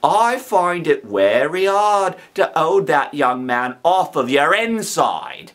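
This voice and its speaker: A man acting a slow, menacing convict. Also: on the high side, big pitch swings, fairly bright tone, heavily acted. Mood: sinister, menacing. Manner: slow, emphatic rustic speech with nonstandard grammar. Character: menacing, rough escaped convict, gruff and threatening.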